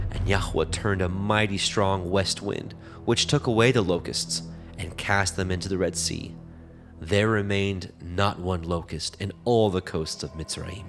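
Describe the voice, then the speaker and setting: A man narrating scripture from a script in a measured, formal manner. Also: low voice.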